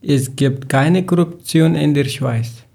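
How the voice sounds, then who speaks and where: A man from Afghanistan co-hosting a casual podcast in careful learner German, speaking slowly and deliberately. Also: a little high, vocal fry, a little mellow, breathy edge. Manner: slow, careful phrasing. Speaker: podcast co-host.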